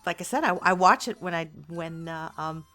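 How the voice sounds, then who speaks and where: A woman's voice: on the low side, normal speed, fairly bright tone, soft background music. A woman chatting casually as an interviewee, in a hesitant, thoughtful manner. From Canada. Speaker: interviewee, amateur voice actor. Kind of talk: casual chat.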